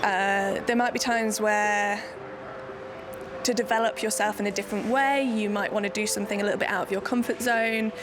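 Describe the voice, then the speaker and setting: A woman's voice: mid-range voice, fairly even intonation, normal speed, over music. A woman from Nottingham, a solo theatre maker, talking in a documentary interview in a chatty, self-deprecating way with many restarts. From Nottingham, England.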